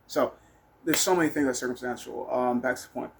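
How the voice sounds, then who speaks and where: A man's voice: medium pitch, fairly even intonation, quick pace, creaky. A man telling personal stories in a casual, rambling monologue, blunt and candid, with some swearing. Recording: noticeable background noise.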